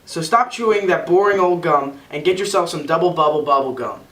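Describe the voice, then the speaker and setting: A woman's voice: lowish voice, a little fast. A young woman reading a scripted amateur radio advertisement as an announcer. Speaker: commercial announcer in a student project. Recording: roomy, distant mic, faint background noise.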